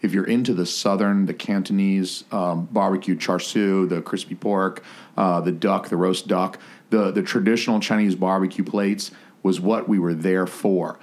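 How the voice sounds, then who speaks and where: A man hosting a podcast, telling a story in a loud, profane, animated way. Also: sits low, moderate pace. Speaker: podcast host. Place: New England.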